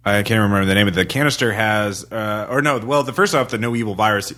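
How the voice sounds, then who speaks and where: A man hosting a podcast, asking rambling, halting questions in a casual conversation about a tabletop game campaign. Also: on the low side, fairly bright tone. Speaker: podcast host.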